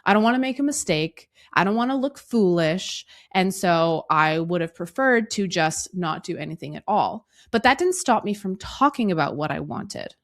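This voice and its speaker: A woman in her thirties hosting a solo podcast, her voice hoarse and raspy from a cough, talking earnestly and reflectively to the listener. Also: fairly low pitch, lively intonation, moderate pace, hardly any pauses. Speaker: podcast host.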